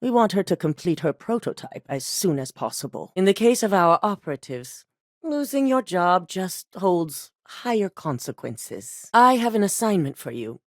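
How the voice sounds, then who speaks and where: A middle-aged actress playing a commanding corporate CEO in a video game, curt and businesslike. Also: female voice, fairly low pitch, sing-song, normal speed, dry recording. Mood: controlled. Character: cool, commanding woman CEO of a megacorporation. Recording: dry studio recording.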